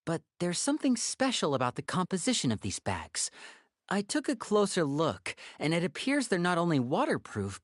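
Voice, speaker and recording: An actor playing a poised, clever young male investigator in a video game, speaking in a smooth, deliberate, musing way. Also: a little high, dry recording. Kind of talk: scripted video game voice acting.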